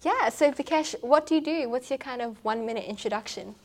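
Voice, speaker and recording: Host: woman, slightly high voice, upbeat and encouraging interviewer. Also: fast, slight background noise. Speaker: podcast host. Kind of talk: casual interview.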